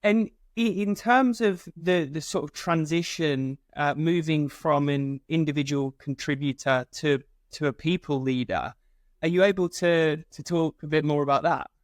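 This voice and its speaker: A man's voice: a little high, a little slow, no echo, studio quality. A man hosting a podcast interview, asking polite, encouraging questions. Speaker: podcast host.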